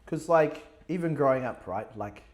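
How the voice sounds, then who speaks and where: Friendly podcast host asking questions. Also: male voice, mid-range voice, expressive, normal speed. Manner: friendly, prompting, many short acknowledgements. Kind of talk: casual podcast interview. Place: indoor podcast setup.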